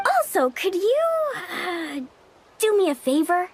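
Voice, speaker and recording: A woman's voice: very high voice, big pitch swings, takes it slowly, noisy background, exaggerated voice. A woman voicing a bubbly, friendly young beach girl in a video game, breezy and gushing. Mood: delighted, coaxing. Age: young adult. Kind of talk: animated video game voice acting. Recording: loud background music.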